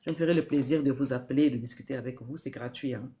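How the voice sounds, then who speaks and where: A woman's voice: rumbling low, fast, mellow, a little hiss or hum. Earnest woman giving spiritual advice. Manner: earnest, repeats key phrases, asks rhetorical questions. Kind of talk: live-stream spiritual advice.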